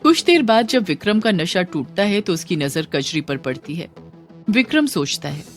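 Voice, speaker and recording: A woman's voice: on the low side, sing-song, moderate pace, soft background music. A woman narrator reading a scripted moral story.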